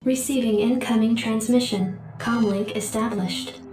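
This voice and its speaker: A woman's voice: a little high, steady tone, measured pace, darkish tone, natural voice. A woman acting an impassive military computer in a video game, making clipped, impersonal announcements. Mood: impassive, alerting. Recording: echoing game audio over music.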